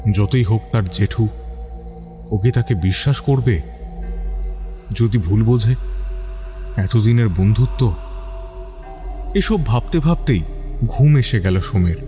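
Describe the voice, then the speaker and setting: A man narrating a scripted suspense story with deliberate phrasing and dramatic pauses. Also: fairly low pitch, expressive, over music.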